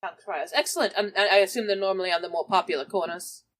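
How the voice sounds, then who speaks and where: A woman's voice: medium pitch, fast. A woman playing a tabletop game, casually moving between describing and speaking in character.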